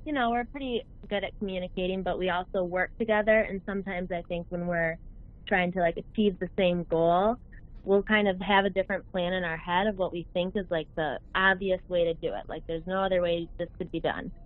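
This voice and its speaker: A woman's voice: on the low side, fairly even intonation, brisk. A woman in her thirties with a Midwestern accent calling in to a radio show, friendly and thinking aloud as she asks her question. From American Midwest. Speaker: caller. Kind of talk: phone-in question. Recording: tinny phone line.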